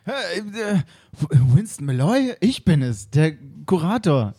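Flustered, starstruck museum curator, a man doing it, mellow and higher-pitched. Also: very animated, slow, husky, no echo, studio quality, exaggerated voice. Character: fawning, rambling male museum curator. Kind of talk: improvised comedy radio play.

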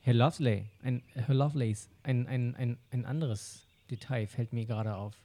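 A man's voice: mid-range voice, steady tone, moderate pace, warm tone, lightly acted. A man voicing a young male detective's assistant who keeps asking probing questions.

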